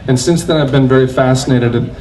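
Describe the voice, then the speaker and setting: A man in his forties speaking as a panel guest in a crowded covered pavilion, measured and reflective, into a stand mic. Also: medium pitch, fairly even intonation, warm tone, a little hiss or hum, poor audio. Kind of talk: panel discussion.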